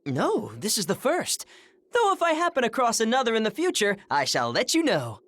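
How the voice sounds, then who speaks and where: A showy adventurer lady, pretty high voice, very swoopy. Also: huge pitch swings, moderate pace, no echo. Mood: grandiose. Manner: theatrical, grand, playful. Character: flamboyant treasure-hunting adventurer woman. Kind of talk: video game voice acting.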